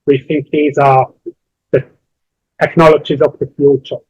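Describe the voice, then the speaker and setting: A man from Switzerland with a Swiss accent, not a native English speaker, giving a workshop lecture slowly and deliberately. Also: a little high, flat delivery, darkish tone, breathy edge, dry recording, muffled recording. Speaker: workshop instructor.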